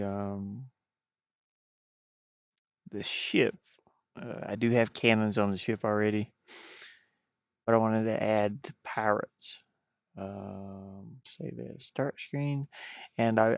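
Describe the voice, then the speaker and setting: A man chatting casually on a livestream as a game developer, speaking in a halting way and often trailing off. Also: gravelly, no room sound. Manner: hesitant, halting, frequently trailing off. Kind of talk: casual stream chat. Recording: muffled, dull low-fidelity stream audio.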